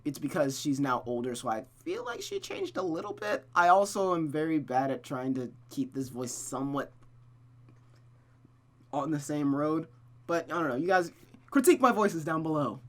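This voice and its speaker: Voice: mid-range voice, very animated, normal speed. A streamer narrating a visual novel aloud, with casual commentary.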